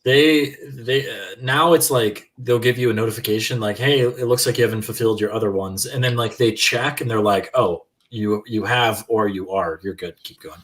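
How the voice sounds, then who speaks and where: A man's voice: fairly low pitch, normal speed, hardly any pauses, fairly bright tone. A man chatting casually as a guest on a livestream. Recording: distant from the mic.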